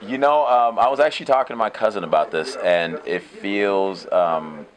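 A man in his thirties, an actor, talking through an interview in a relaxed, reflective way with many fillers and restarts. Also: on the low side, normal speed. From United States. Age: 30s. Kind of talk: casual interview. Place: open shed with concrete pillars.

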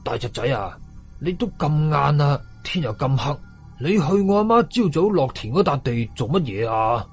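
A man's voice: medium pitch, very animated, normal speed, husky, faint music behind. A man voicing a timid, easily frightened village uncle in a horror story, agitated, with a Hong Kong Cantonese accent. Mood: wary. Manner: agitated, trembling, pleading.

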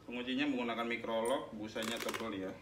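A man's voice: medium pitch, steady tone, quick pace. A young man hosting a casual helmet review vlog, chatting to his viewers as he takes the helmet apart. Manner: chatty, addresses viewers as guys. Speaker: motovlog host reviewing a helmet. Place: small room with tiled wall, indoors. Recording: echoey room, noisy background, mic at a distance.

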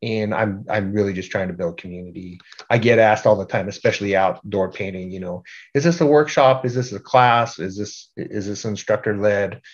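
A middle-aged man giving an informal slide presentation as a group organizer, halting and repeating words in a self-deprecating way. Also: on the low side. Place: indoor room at home, on a video call. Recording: dull, lo-fi video call audio.

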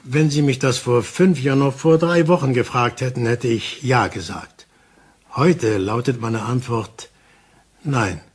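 An actor playing an older male detective in a German crime radio play, calm, insistent and explaining things deliberately with dramatic pauses. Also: medium pitch, breathy edge. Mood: grave, insistent.

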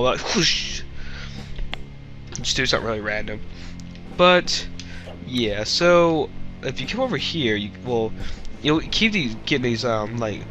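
A man's voice: medium pitch, sharp tone. A young man hosting a Let's Play with casual, rambling, jokey gameplay commentary and a slightly stuffy nose. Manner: rambling, goofy, jokey asides.

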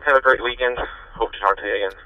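A man's voice: medium pitch, steady tone, rapid-fire, sharp tone, dry recording. A man chatting casually as an amateur radio operator, heard over a two-way radio. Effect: two-way radio transmission. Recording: distant-sounding two-way radio transmission.

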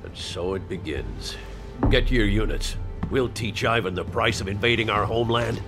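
Man acting grave male colonel, low voice, firm and resolute, war game, music behind. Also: moderate pace, lightly acted. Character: steadfast, grave male army colonel in command.

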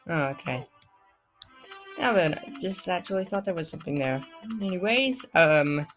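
A gamer hosting a casual let's play, talking in a playful, rambling way that drifts into babble. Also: medium pitch. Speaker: gaming video host.

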